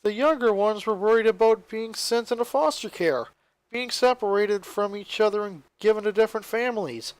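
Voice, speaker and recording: A man's voice: high-pitched, moderate pace, clean tone, dry recording, rough recording. A man reading a piece of fan fiction aloud as narrator, in scripted story style.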